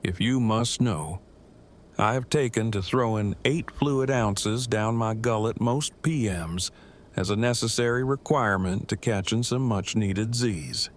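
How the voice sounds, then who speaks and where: A man's voice: fairly low pitch, unhurried, slight background noise, close to an everyday voice. An actor playing an anxious, defensive man who talks in pedantic, stilted, overly formal phrases in an audio drama. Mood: pleading.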